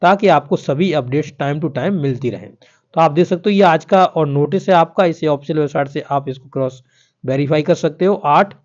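A man's voice: a little high, normal speed, a little mellow. A man explains official updates to viewers in an informal, instructional voice-over, often repeating himself. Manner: repetitive, instructional, addressing viewers directly. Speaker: exam news YouTuber. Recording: dull, lo-fi voice-over.

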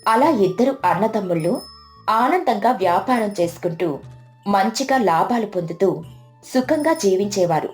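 A woman's voice: mid-range voice, lively intonation, moderate pace. Woman narrating a moral story over music.

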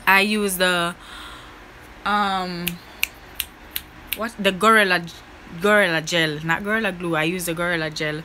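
A woman's voice: on the low side, steady tone, unhurried, pauses often, pushed and bright, slight background noise. A young woman talking casually to her camera in a bedroom, upbeat and chatty with her viewers. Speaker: vlogger. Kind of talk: casual vlog talk to camera. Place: small bedroom indoors.

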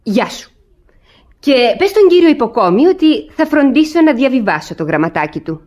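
An actress playing a saucy, sharp-witted young maidservant in a classic radio theatre comedy, pert and teasing. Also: female voice, high voice, sing-song, dull audio.

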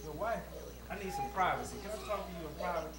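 A man's voice: high voice, fast, over music, close to an everyday voice. A man playing a meddling, teasing friend of a pastor in a gospel stage play. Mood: earnest. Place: church sanctuary stage before a live audience. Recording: distant, echoey church hall.

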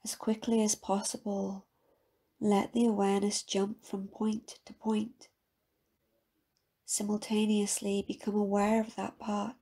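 A woman guiding a scripted meditation in a calm, measured, soft-spoken manner with a husky, breathy voice. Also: mid-range voice. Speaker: meditation guide. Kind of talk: guided meditation, scripted soothing narration.

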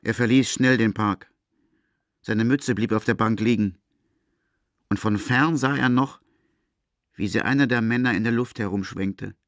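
Low-voiced male radio play narrator. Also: unhurried, pauses often, no room sound, clean studio sound.

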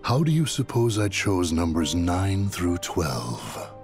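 An actor playing the solemn, menacing leader of a dark order in a game, grave and formal. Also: male voice, deep voice, very animated, slow, soft background music, full character voice. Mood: cold, authoritative.

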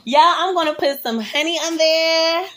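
A woman's voice: very high voice, a little slow. Chatty Southern woman cooking at home. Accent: Southern American. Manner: chatty and excitable, calls viewers y'all and honey. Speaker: vlogger. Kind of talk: casual cook-along chat. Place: small home kitchen, indoors. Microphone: phone or camera set on the counter, she moves around it.

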